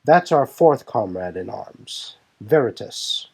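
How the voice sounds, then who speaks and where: A man's voice: fairly low pitch, expressive, slow pace, creaky, darkish tone, slightly rough, heavily acted. A man voicing a composed young leader of a warrior band who explains things formally in a fantasy audio drama. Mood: welcoming, composed. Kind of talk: fan audio drama dialogue.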